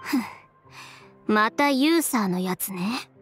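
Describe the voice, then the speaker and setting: A woman's voice: fairly high pitch, lively intonation, soft background music. A woman voicing a proud, cool-headed young fashion designer who speaks bluntly and with self-assurance in an anime game. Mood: annoyed. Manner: direct, brisk, self-assured. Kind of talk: Japanese anime game voice acting.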